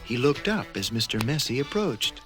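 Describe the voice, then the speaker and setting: A man narrating a scripted children's story in a measured way with dramatic pauses. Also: medium pitch, sing-song, fairly bright tone. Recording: 1980s read-along record with music.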